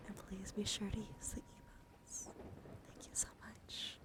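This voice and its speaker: A woman chatting softly to livestream viewers in ASMR style, breathy and often whispering, with a gentle, soothing, intimate manner close to the mic. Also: slow. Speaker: live streamer hosting ASMR. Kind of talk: ASMR, casual chat with viewers.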